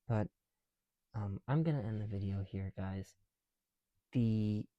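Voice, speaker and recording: A man talking casually and informally to viewers in a soft, mellow voice, in the manner of a homemade ASMR video. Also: on the low side, no echo, lo-fi sound. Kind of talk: casual ASMR chat while building bricks. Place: small room at home, on the floor.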